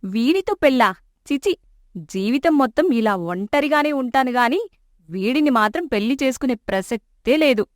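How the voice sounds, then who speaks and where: An actress voicing a feisty young daughter in an animated story, who talks in a snappy, scolding way. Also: female voice, high-pitched, normal speed, no room sound. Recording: dry close studio mic.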